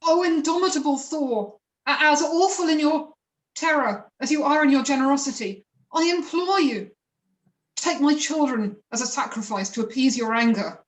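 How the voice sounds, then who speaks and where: A woman's voice: sits high, normal speed, roomy sound, lightly acted, rough recording. A woman playing a timid, pious peasant father who pleads and grovels in an amateur myth play reading. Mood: pleading.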